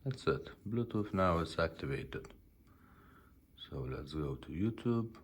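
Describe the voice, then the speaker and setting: A man's voice: deep voice, expressive, slow, slight background noise. A man casually presenting a product demonstration, talking viewers through it step by step. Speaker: presenter demonstrating restored car radios.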